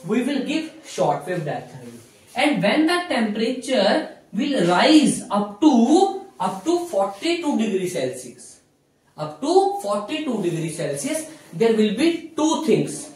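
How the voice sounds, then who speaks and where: A very reverberant room surrounds a young man with an Indian accent as he lectures, standing away from the microphone. His delivery is lively and melodic. He explains like a classroom teacher, repeating key phrases and asking questions as he goes. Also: high voice. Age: young adult. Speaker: physiotherapy teacher. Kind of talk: whiteboard lecture. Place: small indoor room in front of a whiteboard. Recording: echoey room, distant microphone.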